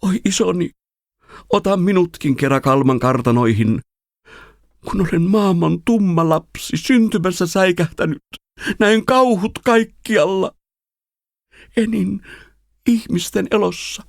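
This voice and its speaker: A man's voice: sits high, creaky, pauses often, no room sound, clean studio sound, voice pushed up. A man reciting as a frightened, anguished young son who pleads and laments in verse. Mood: desperate pleading.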